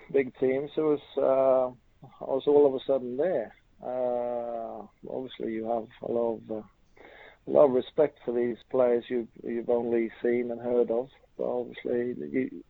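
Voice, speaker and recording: A middle-aged man from Norway with a Norwegian accent, a former footballer answering interview questions in a halting way with many fillers. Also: medium pitch, gravelly, dry recording. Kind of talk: casual interview. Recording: tinny phone line.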